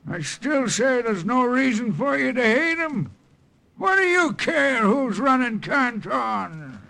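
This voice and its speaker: An actor playing an old, wheedling waterfront drunk in a 1950s radio drama, talking in slangy, uneducated speech. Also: male voice, very high voice, sing-song, slow, gravelly, bright, forceful tone, slight background noise, heavily acted. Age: older.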